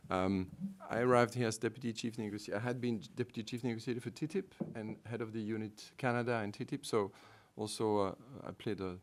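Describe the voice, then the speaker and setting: A middle-aged man with a French-speaking Belgian accent, a trade negotiator giving halting panel remarks full of ums and restarts. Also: lowish voice.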